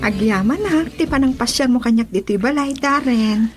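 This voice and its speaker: Young Ilocano female confidante for radio drama, acted by a woman, very high voice, coaxing. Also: animated, normal speed, loud music behind the voice, lightly acted. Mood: curious, surprised. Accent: Ilocano Filipino.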